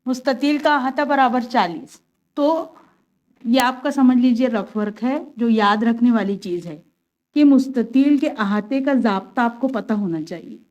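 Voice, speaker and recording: Woman, maths teacher, patient, step-by-step explanation, slightly high voice. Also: a little slow, darkish tone, lo-fi sound. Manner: patient, step-by-step, asks and answers her own questions. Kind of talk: classroom lesson, explaining worked examples.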